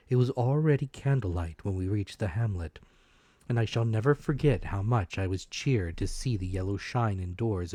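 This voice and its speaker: A man giving a dramatic, scripted audiobook narration of an adventure story, measured and expressive. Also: fairly low pitch, no room sound.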